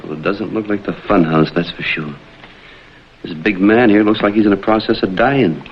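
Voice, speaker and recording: Man acting low-voiced, wry private detective. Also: normal speed, slight background noise, close to an everyday voice. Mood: dry, businesslike. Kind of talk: vintage screen drama acting. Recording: dull vintage TV soundtrack, background music.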